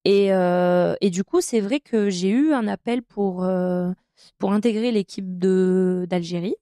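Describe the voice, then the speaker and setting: A woman's voice: medium pitch, measured pace, clean tone, no echo, clean studio sound. A woman in her thirties, a professional handball player from France, telling her career story in a podcast interview with many hesitations. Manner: hesitant, many fillers, warm enthusiasm about her career.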